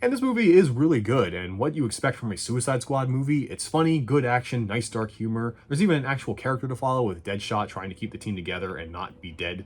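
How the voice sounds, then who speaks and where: A man's voice: medium pitch, animated, quick pace, hardly any pauses, breathy edge. A man giving a casual scripted review commentary, with sarcastic dry humour and some swearing. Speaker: video game movie reviewer. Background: faint music.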